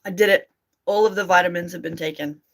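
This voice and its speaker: A woman's voice: lowish voice, steady tone, moderate pace. A woman with an Australian accent tinged with American, chatting informally and self-deprecatingly on a livestream as she answers comments. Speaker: streamer and amateur voice actor. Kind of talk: casual livestream chat, reading and answering comments.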